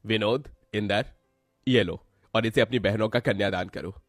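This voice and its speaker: A man's voice: on the low side, normal speed, dry recording, soft background music, close to an everyday voice. A man voicing a kindly older village uncle in a cartoon story, sincere and benevolent.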